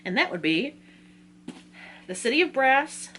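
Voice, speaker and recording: A woman's voice: high-pitched, very animated, measured pace, a bit bright, a little hiss or hum. A woman talking casually in an informal, self-deprecating way, like a book vlogger running through a reading list.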